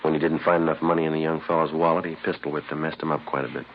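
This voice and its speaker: Fast, low, deadpan police sergeant. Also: male voice. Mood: grim, matter-of-fact. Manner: deadpan, matter-of-fact. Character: terse, weary male police sergeant narrating his case. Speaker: actor and narrator in a radio crime drama. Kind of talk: 1950s radio drama acting, clipped procedural narration. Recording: muffled, noisy vintage radio recording.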